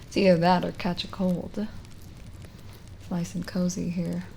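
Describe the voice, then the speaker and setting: A woman playing a lonely, kindly witch in an ASMR roleplay, speaking gently and coaxingly with hesitant pauses and drifts into whispering. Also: on the low side, level tone, gravelly, a little hiss or hum, rough recording. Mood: reassuring. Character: lonely, kindly forest witch, soothing and hospitable. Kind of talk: ASMR roleplay audio drama.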